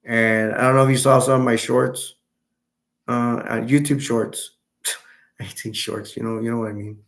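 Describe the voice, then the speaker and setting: A young man from Texas chatting casually on a live stream, answering viewers in a rambling, halting way with many restarts. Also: medium pitch, steady tone, a bit bright. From Texas, United States.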